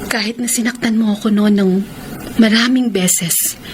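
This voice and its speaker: A woman's voice: mid-range voice, fairly even intonation, moderate pace, natural voice. An actress playing a bubbly, teasing, outgoing young woman in a romantic radio drama. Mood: tender, hesitant. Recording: noisy radio drama with background ambience.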